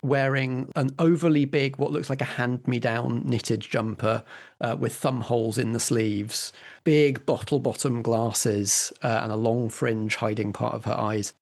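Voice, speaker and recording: Man narrating as game master, medium pitch. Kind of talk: improvised narration and rules explanation for tabletop roleplay.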